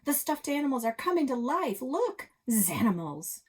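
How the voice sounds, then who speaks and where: A woman's voice: high-pitched, very animated, moderate pace, husky, pitched above the performer's own voice, heavily acted. A woman voicing a bold, urgent young female adventurer in a storybook, with an emphatic, commanding manner. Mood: alarmed.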